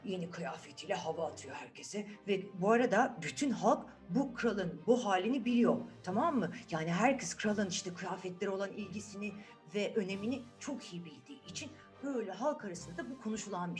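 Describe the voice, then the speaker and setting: A woman telling a fairy tale to children in an animated, conversational way, checking in with her listeners. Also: medium pitch. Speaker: children's storyteller.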